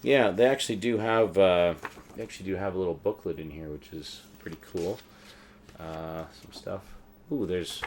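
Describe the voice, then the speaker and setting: A man's voice: deep voice, background noise. A man giving casual, unscripted show-and-tell commentary as a vlogger, rambling along with a fan's enthusiasm. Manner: rambling, enthusiastic fan talk. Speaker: vlogger, collector showing DVD purchases.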